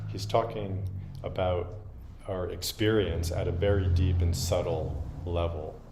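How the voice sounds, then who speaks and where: A man giving an informal dharma talk as a Zen teacher, halting and reflective, with frequent pauses. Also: low-pitched, warm tone. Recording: roomy echo with faint background noise.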